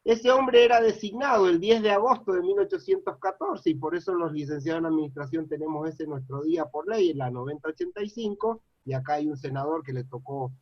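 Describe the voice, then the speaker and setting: A man's voice: on the high side, fairly quick, hardly any pauses, no room sound. A middle-aged man from Mendoza with an Argentine accent, giving an earnest, rambling public lecture full of "eh" and "este" fillers. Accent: Argentine Spanish. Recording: dull lo-fi dry sound.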